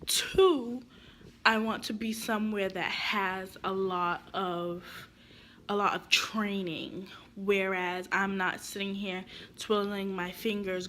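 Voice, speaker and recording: A woman's voice: medium pitch, fairly bright tone. Young woman vlogging tips, kinda stuffy cuz she's sick, rambly and chatty, faint hiss behind. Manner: chatty, rambling with many fillers. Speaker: real estate vlogger. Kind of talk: casual advice to camera.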